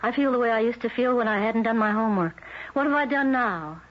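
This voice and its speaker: An actress in an old-time radio drama playing an uneasy, restless wife who chafes at conformity. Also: female voice, fairly high pitch, fast, close to an everyday voice. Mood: nervous. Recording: muffled, noisy old radio recording.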